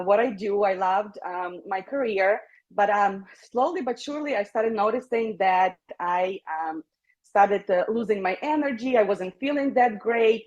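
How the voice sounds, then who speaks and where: A woman from Ukraine presenting an informal personal testimonial over a dull, lo-fi online call. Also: mid-range voice. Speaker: presenter giving a testimonial and introducing the next speaker.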